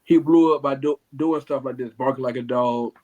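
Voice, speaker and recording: A man co-hosting a wrestling podcast, calmly explaining things to the others. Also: medium pitch, moderate pace, dull audio. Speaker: podcast co-host. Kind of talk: casual podcast chat.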